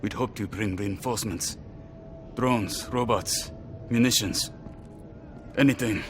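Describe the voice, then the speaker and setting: An actor playing a weary but resolute Egyptian army lieutenant, giving formal, measured briefings in a video game. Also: male voice, fairly low pitch, background noise. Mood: grave. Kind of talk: video game cutscene acting.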